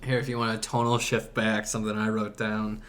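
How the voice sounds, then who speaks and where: A man's voice: lowish voice, completely flat delivery, brisk, a bit bright. A man in his twenties co-hosting a casual podcast, joking crudely and cutting in. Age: 20s. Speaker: podcast co-host. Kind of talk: casual chat.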